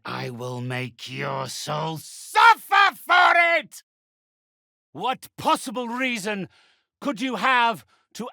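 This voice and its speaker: An actor playing a sinister, imperious undead necromancer in a video game, with grandiloquent phrasing and a creaky, breathy voice. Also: male voice, on the high side, bright, forceful tone, dry recording, clean studio sound, heavily acted. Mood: wrathful. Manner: imperious, grandiloquent, slow and drawn-out menace. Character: haughty, sinister undead necromancer and spirit medium, male. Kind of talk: theatrical video game voice acting.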